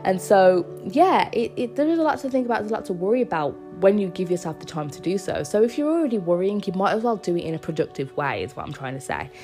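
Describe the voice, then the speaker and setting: A woman's voice: medium pitch, sing-song, fast, hardly any pauses, a little mellow, faint music behind. A young woman with a cold and a stuffy nose, hosting a casual solo podcast in an earnest, reassuring way. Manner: earnest, reassuring, with small laughs. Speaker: podcast host.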